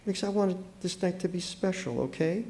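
A man's voice: a little high, huge pitch swings, fairly quick, vocal fry, darkish tone, voice pushed up, exaggerated voice. A man voicing an exasperated, bossy and easily wounded wife in audiobook dialogue, with scornful, emphatic questions. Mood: fragile, wounded.

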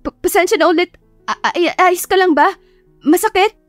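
A woman's voice: extremely high pitch, normal speed, no echo, soft background music, voice pushed up. A woman voicing a flustered, apologetic young female employee with a Filipino accent in an audio love story.

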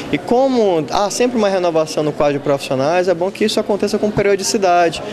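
High-voiced man explaining in a crowded hall. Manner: explanatory, measured. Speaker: lecturer interviewed. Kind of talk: news interview. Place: school auditorium with an audience. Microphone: handheld reporter mic.

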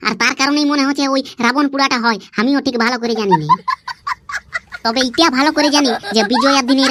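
A performer with a sped-up, pitched-up voice playing a bossy, mischievous village cartoon character with a Purulia accent, mocking and scolding in dialect. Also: a bit bright, no echo, clean studio sound. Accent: Purulia, West Bengal.

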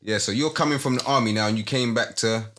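A man hosting a podcast in a small studio, relaxed, asking questions with slang and many listening noises. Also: mid-range voice, quick pace. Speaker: podcast host. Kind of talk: casual interview chat. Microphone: studio mic on stand.

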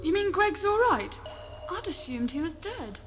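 Actress as tough young female adventurer, sardonic, animated pitch, sci-fi audio drama. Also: moderate pace, lo-fi sound. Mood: dry. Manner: blunt, sardonic. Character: hard-headed, sharp-tongued young female space adventurer. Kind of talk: science-fiction audio drama. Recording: music behind the dialogue.

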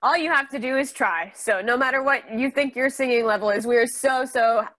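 A woman's voice: high voice, brisk, a bit bright. Upbeat young woman instructor on a video call. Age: young adult. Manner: upbeat, encouraging, laughs often. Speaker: vocal instructor. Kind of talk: casual video-call chat. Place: home room. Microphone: headphones, laptop camera.

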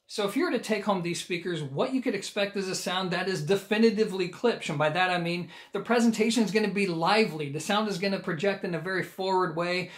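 Higher-pitched American man, casual reviewer. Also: fairly bright tone. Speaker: audio gear reviewer. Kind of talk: casual video review to camera.

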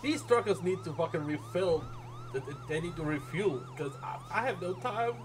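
Brash male game streamer, playful banter, very animated delivery, clear siren noise behind. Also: high voice, moderate pace. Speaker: game streamer role-playing as an emergency responder. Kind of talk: casual role-play banter while gaming.

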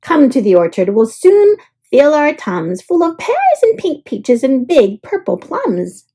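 A woman's voice: high-pitched, huge pitch swings, a little slow, dark tone, pitched above the performer's own voice, exaggerated voice. A woman voicing a kind, helpful young boy in a storybook, exclaiming and fussing earnestly. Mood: caring.

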